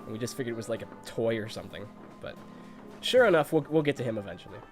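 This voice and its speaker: A man's voice: medium pitch, lively intonation, fast, faint music behind. A congested man with a cold giving chatty, nostalgic commentary while streaming a video game, laughing often.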